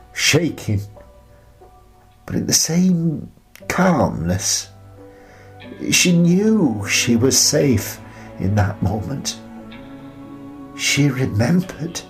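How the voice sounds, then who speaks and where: Man playing a slow, grieving old widower. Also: mid-range voice, heavily acted. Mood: grieving, emotional. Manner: slow, halting, reflective delivery. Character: bereaved old widower, tender, wistful, living in playhouse. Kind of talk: radio play monologue.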